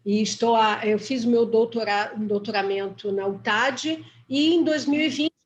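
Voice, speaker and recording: A woman from Brazil with a Brazilian Portuguese accent speaks up in an online talk in a friendly, rambling way. Her voice sits fairly high, with faint noise behind it. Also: normal speed, dull audio. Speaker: audience member, professor. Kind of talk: thanking the speaker.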